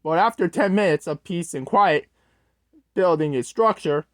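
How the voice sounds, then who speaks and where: A performer voicing an awkward, easily flustered storyteller who haltingly reads a scripted scary story aloud. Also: medium pitch, dry recording, studio quality, lightly acted. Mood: narrating. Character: Strong-Arm, awkward, scatterbrained storyteller host character. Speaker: storyteller host.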